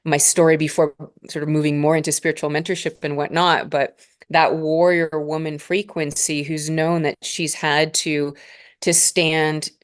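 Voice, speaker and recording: A woman in her forties from Northern Alberta, a spiritual mentor speaking as a guest in a slow, measured, reflective way. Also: low voice, flat delivery. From Northern Alberta, Canada. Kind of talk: reflective interview conversation.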